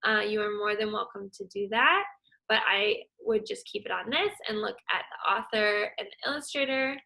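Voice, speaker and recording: A woman's voice: mid-range voice, normal speed, gravelly, sharp tone, poor audio. A young adult woman teacher who reads a picture book aloud and explains a task to young students in an encouraging way. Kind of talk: children's read-aloud and lesson.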